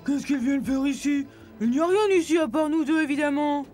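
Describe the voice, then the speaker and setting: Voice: high-pitched, a little fast, darkish tone, close to an everyday voice. An actor voicing a touchy polar bear who hates the cold, grumbling dryly in a dubbed cartoon. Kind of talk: dubbed low-budget cartoon dialogue. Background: faint music.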